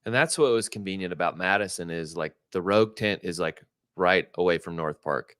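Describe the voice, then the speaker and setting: Brief: deep, smooth male co-host, wry quips, dry close mic. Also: brisk, fairly bright tone, no echo. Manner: wry, chuckling asides. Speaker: podcast co-host. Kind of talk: casual chat.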